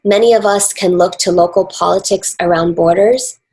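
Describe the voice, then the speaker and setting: A woman with a North American accent reads a scripted academic talk in a formal, measured and deliberate way. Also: fairly low pitch, steady tone, a little mellow, rough recording. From Canada and the United States. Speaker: academic speaker, author and dance researcher.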